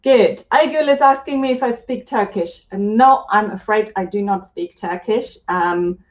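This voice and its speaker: A woman's voice: medium pitch, a little slow, reverberant, highs cut off. A woman from Germany teaching yoga on a live stream, chatting casually and reading out viewer comments.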